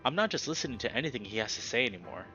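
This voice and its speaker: A man narrates a visual novel aloud with a bright, forward voice. His reading is expressive, with the odd stumble and reread. The sound is a little dull, and music plays softly behind him. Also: medium pitch, racing. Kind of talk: expressive narration of story text.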